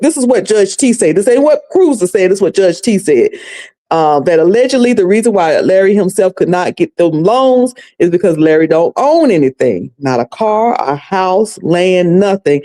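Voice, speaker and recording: Chatty Southern woman with a dark tone. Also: fairly low pitch. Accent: Southern US, North Carolina. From North Carolina, US. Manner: chatty, folksy, addresses viewers as y'all and sugar. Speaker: commentary livestream host. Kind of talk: casual recap chat.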